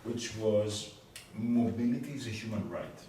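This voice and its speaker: A man moderates a conference panel and puts long questions together with hesitant fillers. His voice sits a little low. It rings with heavy hall echo from a distant mic, and noise is clearly heard behind him. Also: a little slow, dark tone. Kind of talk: panel discussion.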